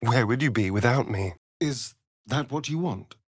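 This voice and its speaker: A man's voice: mid-range voice, expressive, moderate pace, breathy edge, no echo, clean studio sound. A man playing a vain, sardonic vampire rogue in a fantasy video game, with an arch, flamboyant drawl. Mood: teasing.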